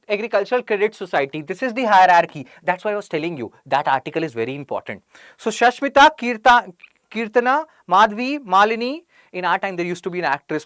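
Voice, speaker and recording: A woman's voice: medium pitch, no room sound, studio quality. A woman with an Indian English accent giving a chatty online exam-prep lecture, joking with sarcastic asides and reading out her students' names. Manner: reads out student names from chat. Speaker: teacher.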